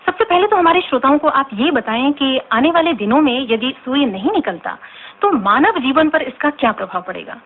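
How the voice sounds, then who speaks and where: Woman radio presenter, high-pitched, polite, inquisitive, articulate, radio play, tinny noisy recording. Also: animated, a little fast. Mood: friendly, curious. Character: friendly, curious female radio talk host. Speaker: radio interviewer. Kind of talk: scripted radio drama interview.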